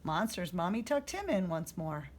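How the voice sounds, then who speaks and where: A woman reading a children's story aloud as a storyteller, in expressive narration. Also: on the low side, normal speed.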